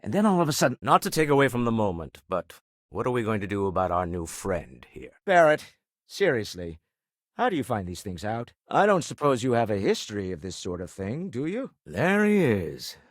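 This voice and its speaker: An actor playing a wealthy, urbane older businessman explorer in a video game, speaking in a refined, measured way with dry wit. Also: medium pitch, sing-song, no room sound. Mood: composed. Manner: refined, measured, dryly witty phrasing. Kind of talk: video game character dialogue.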